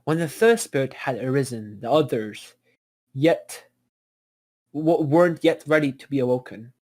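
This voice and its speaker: A man reading as the solemn storybook narrator of a fantasy epic, earnest, declamatory and a little halting. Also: medium pitch, expressive, darkish tone.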